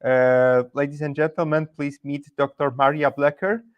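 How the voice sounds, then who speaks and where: A man, hosting an online interview formally and pausing often with "uh". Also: fairly high pitch, little pitch movement, highs cut off. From Poland. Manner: formal, hesitant with "uh" fillers. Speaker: webinar host.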